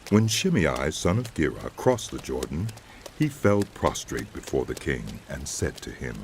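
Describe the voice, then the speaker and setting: A man narrating a dramatized Bible as a scripted, measured storyteller. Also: a bass voice, big pitch swings, noisy background.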